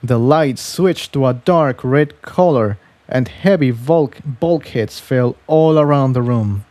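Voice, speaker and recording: Unhurried, mellow male story narrator. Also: mid-range voice, no room sound. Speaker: audiobook narrator.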